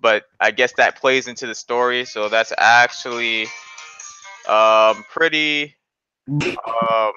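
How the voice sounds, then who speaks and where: Bright, clear-voiced man, dry lo-fi mic. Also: medium pitch, fairly even intonation, slow, faint music behind. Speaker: podcast host. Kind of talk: casual anime discussion.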